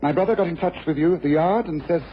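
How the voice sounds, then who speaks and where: A dull, faintly hissy radio recording carries an actor as a commanding male detective. He talks quickly and incisively, intense and hard on himself, lecturing with absolute assurance. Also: a little high, natural voice. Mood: urgent, authoritative. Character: brisk, commanding detective, self-reproachful and intense. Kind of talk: radio crime drama acting.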